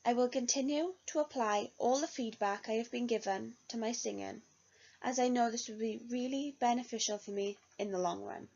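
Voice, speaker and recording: Gravelly young woman reading a self-review. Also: on the high side, moderate pace, a little mellow. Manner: earnest, read-aloud delivery. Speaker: music student. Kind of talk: reflective spoken self-review. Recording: dull lo-fi recording.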